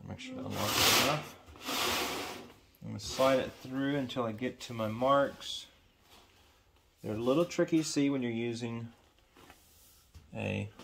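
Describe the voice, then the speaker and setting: Gravelly tradesman in a noisy workshop. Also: mid-range voice. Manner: talks in short bursts while working with his hands. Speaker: HVAC sheet metal tradesman demonstrating. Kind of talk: hands-on how-to demonstration, unscripted. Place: large indoor warehouse workshop. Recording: loud workshop noise, metal clanking and clicks.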